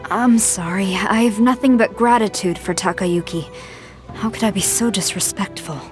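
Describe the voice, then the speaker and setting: A woman playing a stern, loyal military general in a video game, speaking in a formal, measured, earnest way. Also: mid-range voice, lightly acted. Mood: wistful. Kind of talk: scripted game character voice lines. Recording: music behind the voice.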